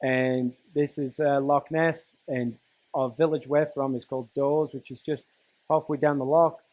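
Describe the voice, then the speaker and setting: A middle-aged man from England, giving a personal talk to students in a conversational, halting way with dry humour. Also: medium pitch, steady tone, a little mellow, no echo. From Newcastle, northern England. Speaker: teacher and coach giving a speech to students. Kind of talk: personal motivational talk, storytelling.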